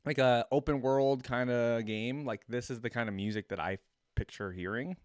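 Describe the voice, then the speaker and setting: A man hosting a casual reaction video, talking conversationally and thinking out loud, sometimes reading aloud. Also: medium pitch, moderate pace, no echo.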